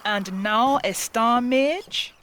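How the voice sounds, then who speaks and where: Slow-talking, tough female sea captain. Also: on the high side, a bit bright, a little hiss or hum. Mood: incredulous, angry. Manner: wry, commanding, profane. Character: tough, foul-mouthed woman boat captain of the seas. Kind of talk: fantasy audio drama acting.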